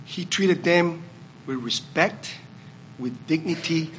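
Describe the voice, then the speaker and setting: A man's voice: fairly high pitch, takes it slowly, fairly bright tone, slight background noise, poor audio. A man with a Puerto Rican accent giving a reflective communion meditation at a church service and asking the congregation questions. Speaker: communion speaker.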